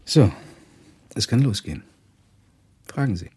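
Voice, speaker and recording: A deep, rough-edged professor in a radio play. He is proud and blunt, weighing his words and delivering them with dry reproach. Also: expressive, warm tone, exaggerated voice. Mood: brusque, probing. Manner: deliberate, blunt, dryly reproachful. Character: blunt, proud Swiss professor, a German émigré. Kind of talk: 1970s German radio play acting.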